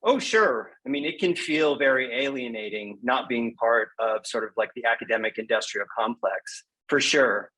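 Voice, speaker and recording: A man's voice: mid-range voice, moderate pace, hardly any pauses, pushed and bright, low-quality recording. A man speaking frankly and informally as a guest in a casual interview, with a wry way of putting things. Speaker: guest poet.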